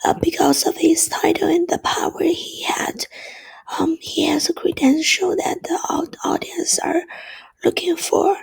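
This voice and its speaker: A woman with a bad cold gives a class presentation, her voice hoarse and almost gone. She mostly whispers in a gravelly, scratchy way and talks slowly, pausing on fillers. What voice she has left sits high. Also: steady tone. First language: Chinese. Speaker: student presenter.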